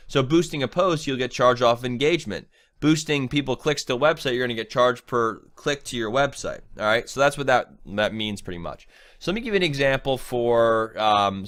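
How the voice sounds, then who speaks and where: A young man with a bright, forward tone, walking through an online ad setup. His cold leaves him slightly nasal. He talks in a loose, chatty way and keeps checking in with "right?". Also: mid-range voice. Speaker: online marketing trainer. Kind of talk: screen-share tutorial.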